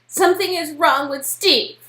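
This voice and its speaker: A woman's voice: sits high, a little slow, sharp tone, roomy sound. A woman playing a distraught young woman, insistent and emphatic, in an amateur reading of game dialogue. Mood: desperate, insistent. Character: distraught, frantic young woman obsessed with her vanished boyfriend.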